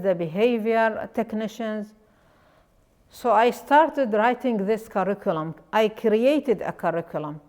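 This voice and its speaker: A woman with an Iraqi Arabic accent, an educator and life coach, telling her own story earnestly with many pauses and restarts. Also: mid-range voice, a little mellow. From Iraq. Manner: earnest, pausing often with fillers and restarts. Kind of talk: personal story talk.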